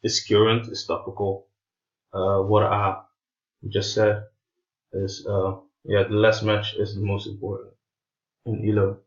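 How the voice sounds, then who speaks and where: A man, a native Portuguese speaker with non-native English, giving an informal explainer in a halting, hesitant way, searching for words. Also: on the low side, completely flat delivery, highs cut off. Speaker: presenter of an explainer video.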